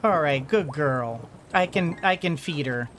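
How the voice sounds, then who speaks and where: A woman's voice: low-pitched, expressive, a little slow, a little hiss or hum. A middle-aged woman giving casual gameplay commentary, rambling, talking to herself and exclaiming playfully. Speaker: let's-play host.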